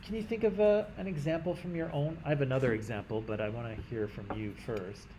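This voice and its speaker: A Canadian man teaching a class in a conversational way, often checking in with "right?" and clearing his throat. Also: mid-range voice, fast talker, dark tone, a little hiss or hum, low-quality recording. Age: adult. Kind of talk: classroom lecture.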